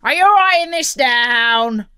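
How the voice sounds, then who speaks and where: Woman as a gruff, drawling pirate captain. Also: sits high, expressive, takes it slowly, pushed and bright, dry recording, clean studio sound, pitched above the performer's own voice, exaggerated voice. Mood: pompous dictating. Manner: commanding, drawling, snarling, pirate slang. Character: gruff, menacing male pirate captain with a hook.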